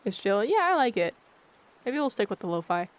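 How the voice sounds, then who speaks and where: A livestreamer chatting casually with viewers in a monotone, blunt-sounding way, often losing their train of thought. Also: mid-range voice, brisk. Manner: rambling, loses track of thoughts. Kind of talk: casual chat with live viewers. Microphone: filtered streaming mic.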